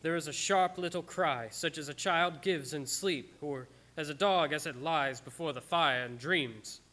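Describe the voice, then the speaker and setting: A male actor plays an earnest doctor recounting horrors in a radio play. His voice is a little high and sharply bright. The delivery is tense and theatrical, like formal journal entries read by a haunted man. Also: measured pace. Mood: horrified recounting.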